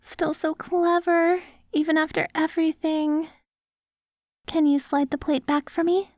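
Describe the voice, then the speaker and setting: High-voiced, devoted apocalypse wife, acted by a woman. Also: level tone, unhurried, darkish tone, no echo, clean studio sound. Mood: tender, encouraging. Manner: tender, coaxing, speaks directly to a loved one. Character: devoted, hopeful wife caring for her zombie husband after apocalypse. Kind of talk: ASMR audio roleplay.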